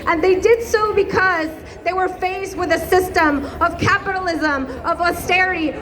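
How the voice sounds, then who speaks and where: A woman from Ecuador giving an impassioned, declamatory speech at a street rally. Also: very high voice. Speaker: protest speaker, activist. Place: outdoor street protest. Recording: outdoor PA with crowd noise. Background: crowd, street noise.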